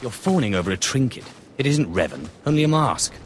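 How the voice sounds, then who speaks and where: An actor playing a cynical, menacing young Sith recruit in a video game, curt, dry and scornful. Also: male voice, medium pitch, sing-song, brisk, slight background noise. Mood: disdainful. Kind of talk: video game cutscene acting.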